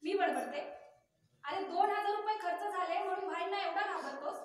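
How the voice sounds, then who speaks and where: A woman playing a sharp-tongued, money-minded young wife in a domestic drama, taunting, scolding and imperious. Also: extremely high pitch, normal speed. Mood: nagging, taunting. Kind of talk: melodramatic Marathi stage-style domestic drama acting. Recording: very echoey room, distant mic, music.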